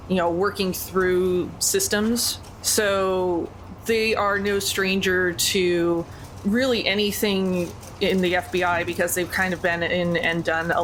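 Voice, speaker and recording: A woman's voice: on the low side, fairly bright tone, slight background noise, poor audio. A woman speaking casually as a player explaining her character in a tabletop game.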